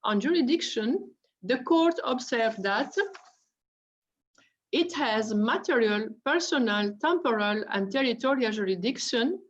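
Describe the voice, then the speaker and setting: The recording is dull and muffled. A woman with a fairly high voice reads a court judgment as a judge, formal and measured, speaking as someone whose first language is French. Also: animated.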